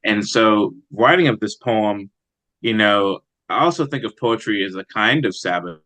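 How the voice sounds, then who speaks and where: A man speaking as an interviewed poet, in a reflective, halting conversational way. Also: on the low side, pushed and bright, dry recording, low-quality recording. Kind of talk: conversational interview with brief poem reading.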